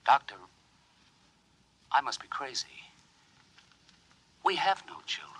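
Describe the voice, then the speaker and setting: Troubled male patient, 1950s radio: forceful, animated, agitated and insistent. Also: medium pitch, takes it slowly, slightly rough, heavily acted. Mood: agitated denial. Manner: insistent, fretful.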